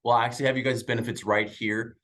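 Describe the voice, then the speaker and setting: A man's voice: medium pitch, steady tone, fast, fairly bright tone. A young man in his twenties, an insurance sales agent, making chatty, upbeat small talk on a video call with many fillers. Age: 20s.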